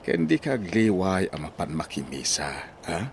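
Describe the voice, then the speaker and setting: An actor playing a gentle, devout old father in an Ilocano radio drama, tender and admonishing, with an Ilocano accent. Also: sits low, sing-song, moderate pace, creaky, a little hiss or hum, heavily acted. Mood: tender, advising.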